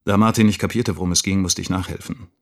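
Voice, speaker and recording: A concerned man from a school staff in a radio play. He has a deep voice and narrates his own account like a wry witness. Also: steady tone, fast talker. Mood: indignant, wry. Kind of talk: narrated dramatic monologue.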